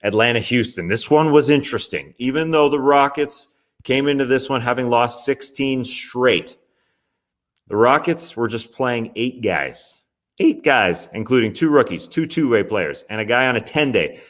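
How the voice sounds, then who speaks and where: Young guy running through last night's basketball games, chatty and wry, middle pitch. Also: lively intonation, normal speed, a bit bright. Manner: casual, chatty, wry asides. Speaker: sports podcast host. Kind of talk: NBA recap commentary.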